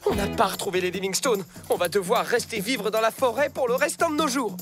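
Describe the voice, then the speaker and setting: A man's voice: high voice, fairly quick, close to an everyday voice. A man voicing a scheming, boastful male fox, the ringleader in a children's cartoon. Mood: sheepish. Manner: boastful, animated. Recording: music behind.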